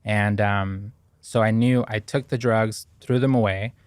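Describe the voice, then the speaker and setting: A man's voice: lowish voice, fairly even intonation, measured pace, no room sound. A man in his thirties from Ecuador, a podcast guest talking earnestly and thoughtfully about his past, with frequent fillers and restarts. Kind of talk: reflective interview talk.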